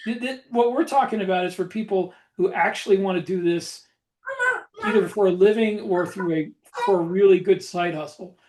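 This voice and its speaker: A man's voice: high-pitched, normal speed, a little mellow. A man co-hosting a podcast in casual chat, with a blunt, matter-of-fact way of talking. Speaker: podcast co-host and author.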